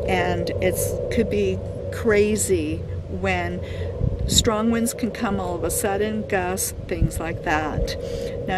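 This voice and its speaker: A middle-aged woman vlogging outdoors at a desert campsite, chatty and explaining things step by step with frequent fillers. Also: medium pitch. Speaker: van life vlogger. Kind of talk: casual how-to vlog talk. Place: outdoors at a desert campsite under a sun shade. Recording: outdoor recording with clear wind and vehicle noise.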